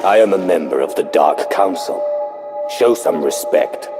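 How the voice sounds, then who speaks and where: An actor playing a commanding, grim dark lord in a video game, speaking with measured authority. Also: male voice, low voice, expressive, loud music behind the voice. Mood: imperious. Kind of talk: scripted video game dialogue.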